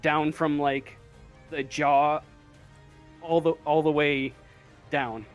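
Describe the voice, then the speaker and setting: A man with a slightly high voice improvises narration as a tabletop game master. He speaks very slowly and haltingly, in a casual way. Faint music plays behind him. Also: flat delivery. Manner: halting, hesitant, casual delivery.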